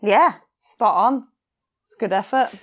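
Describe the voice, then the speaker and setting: A woman's voice: a little high, slow pace, fairly bright tone. A young woman with a Yorkshire accent, chatting casually as a podcast guest, laughing often and swearing freely. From England. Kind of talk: casual chat.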